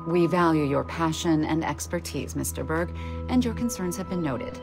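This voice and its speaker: An actress playing a commanding, pragmatic Templar superior, speaking in a measured, authoritative way. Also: female voice, low-pitched, expressive, gravelly. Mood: cool, firm. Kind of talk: scripted game dialogue. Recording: loud music behind the voice.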